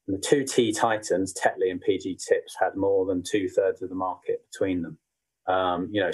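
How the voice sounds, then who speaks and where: A man's voice: lowish voice, poor audio. A British man in his forties giving a conference presentation as a marketing director, with dry self-deprecating humour and frequent ums. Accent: British, not Yorkshire. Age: 40s. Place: remote conference session.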